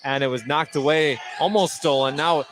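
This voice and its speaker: A man's voice: fairly high pitch, fairly even intonation, normal speed, fairly bright tone. A man doing live play-by-play radio commentary of a basketball game, with short clipped calls that rise on big plays. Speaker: play-by-play sports announcer. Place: at a basketball game, gym noise behind. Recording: clearly noisy live game broadcast.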